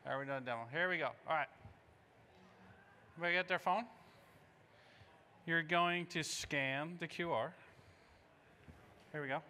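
A man's voice: fairly high pitch, normal speed, pauses often, bright, forceful tone, slight background noise. A man in his fifties giving an informal live product demo on stage, talking to the audience in short phrases. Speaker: presenter pitching a product demo. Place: stage in a large indoor conference hall.

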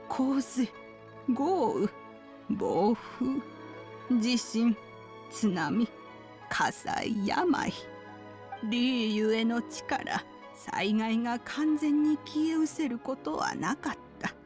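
A wise old woman of a fantasy game, recounts ancient legends with kindly gravity. Her voice sits fairly high and moves slowly, in old-fashioned elderly phrasing. Music plays clearly behind her. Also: a little mellow. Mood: grave, measured. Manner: archaic elderly speech, measured and solemn. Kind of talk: Japanese video game storytelling, solemn narration.

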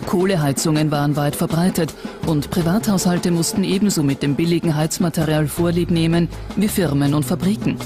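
Documentary narrator, medium pitch, scripted delivery, music bed underneath. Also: steady tone, fairly quick, few pauses. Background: background music.

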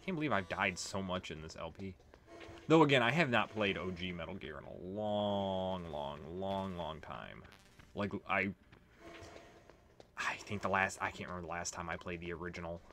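A man's voice: low-pitched, animated, moderate pace, a bit bright. A man giving casual, swear-prone gameplay commentary as the host of a let's play. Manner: profane, exasperated when struggling. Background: video game music.

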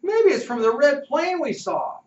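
A high-pitched, eager young boy bursting with excitement, put on by an older man reading a storybook. He rises well above his own register and sounds roomy and distant, as if heard from across a classroom. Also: unhurried, lo-fi sound, heavily acted.

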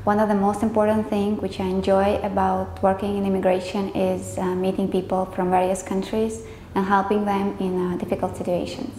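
Female office staffer from Latvia, soft-toned and even, roomy sound with faint noise. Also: medium pitch, a little fast. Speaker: immigration law firm staff member. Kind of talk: on-camera staff profile. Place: indoor office.

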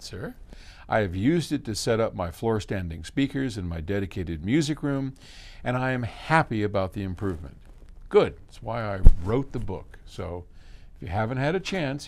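Male host, 70s, lowish pitch, conversational and emphatic explainer, pauses mid-thought. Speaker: host, audio company chief answering viewer questions. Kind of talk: casual explanatory talk to camera. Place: indoor electronics workshop.

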